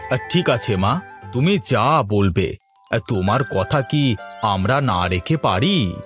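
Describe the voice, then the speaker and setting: A man voicing a teasing, easily amazed family man in a fairy-tale cartoon, husky and exaggerated. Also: medium pitch, expressive, takes it slowly, fairly bright tone. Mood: dutiful. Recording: music behind the voice.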